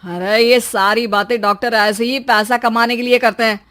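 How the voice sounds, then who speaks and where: Husband voiced by a woman: high, offhand, bossy, boastful, dismissive, moral storybook piece. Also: steady tone, normal speed, a bit bright, lightly acted. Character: dismissive, boastful husband brushing off his pregnant wife.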